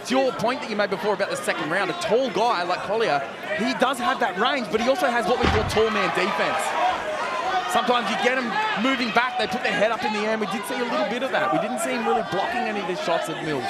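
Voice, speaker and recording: A man giving excited, loud commentary on a live cage fight. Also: high voice, fast talker. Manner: excitable, loud, calling the action. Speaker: play-by-play commentator. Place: live fight venue with crowd and music. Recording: loud music and crowd noise.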